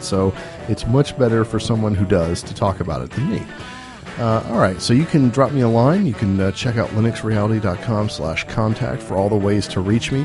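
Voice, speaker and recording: A man hosting a podcast, casually signing off while losing his voice to a cold, rambling with many fillers. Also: on the low side. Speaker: podcast host. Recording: music playing underneath.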